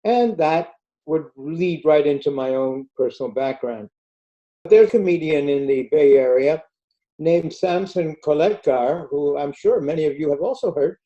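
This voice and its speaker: An older man from India giving a measured, storytelling talk over a video call. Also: a little high, warm tone, lo-fi sound. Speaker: guest speaker. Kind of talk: prepared talk with slides.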